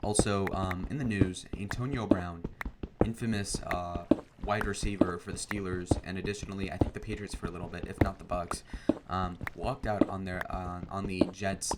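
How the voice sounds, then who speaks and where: Young male gamer, deep and monotone, meandering chatter, heavy noise behind. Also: normal speed. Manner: meandering, full of fillers and restarts. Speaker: gaming video creator. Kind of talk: rambling commentary over gameplay. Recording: loud background noise.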